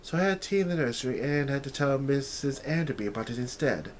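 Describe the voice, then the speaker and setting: A man voicing an excitable, proper, well-bred young woman from a storybook, earnestly exclaiming and musing aloud. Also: mid-range voice, fast, a little hiss or hum, voice pushed up, natural voice. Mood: earnest recollection. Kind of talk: storybook character reading.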